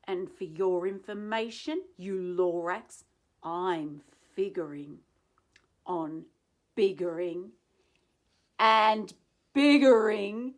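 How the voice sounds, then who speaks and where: A woman voicing an angry, boastful, greedy male factory owner in a storybook, yelling defiantly and emphatically. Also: medium pitch, very slow, pauses often. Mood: defiant boasting.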